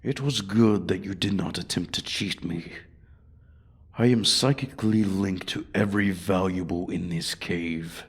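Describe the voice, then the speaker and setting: Man voicing a deep, slow, raspy dragon. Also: heavily acted. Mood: haughty, bored. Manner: slow, formal, condescending. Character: ancient bored male dragon, menacing, haughty, toying with prey. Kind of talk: ASMR fantasy roleplay audio.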